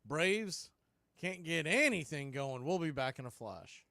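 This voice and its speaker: A man calling live baseball play-by-play on the radio, in a brisk, conversational way that tracks every pitch and count. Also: animated, pushed and bright.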